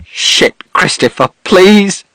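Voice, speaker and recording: A man reading an audiobook voices a furious middle-aged father who shouts and pleads. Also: sits high, expressive, takes it slowly, no echo, studio quality, pitched above the performer's own voice, exaggerated voice. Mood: pleading. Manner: shouting, raw, then pleading.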